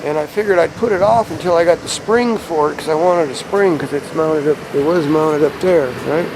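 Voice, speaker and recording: A man's voice: fairly high pitch, brisk. A man talking casually and conversationally outdoors, explaining things in a laid-back, rambling vlog style. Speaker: vlogger showing a DIY radio antenna install. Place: outdoors beside his truck. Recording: clear outdoor ambient and vehicle noise.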